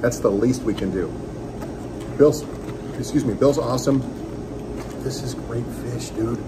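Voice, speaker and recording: A man's voice: mid-range voice, measured pace, very noisy. Enthusiastic man reviewing fish and chips. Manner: enthusiastic, joking, talks while eating. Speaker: radio host. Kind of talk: casual restaurant review vlog. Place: small restaurant dining room, indoors. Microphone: phone camera on table.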